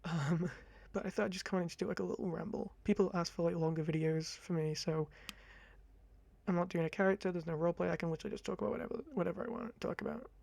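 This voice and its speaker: A young man in his twenties with a high-pitched voice, rambling casually to camera in a chatty, self-deprecating ASMR talk on a close mic. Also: steady tone, quick pace, creaky, darkish tone, no room sound. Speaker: ASMR creator. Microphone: close condenser mic.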